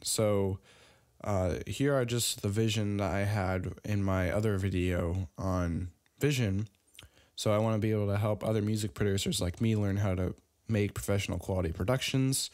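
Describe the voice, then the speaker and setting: A young adult man giving a casual online business lesson, halting often with fillers and restarts. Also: fairly low pitch, darkish tone, no echo, studio quality. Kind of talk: casual instructional talk.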